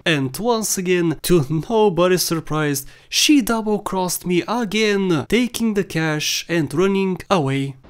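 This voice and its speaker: A man with a high-pitched voice narrates a gaming countdown. He talks casually and shares his opinions in a voice-over. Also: animated, slow pace, few pauses. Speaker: video game countdown narrator.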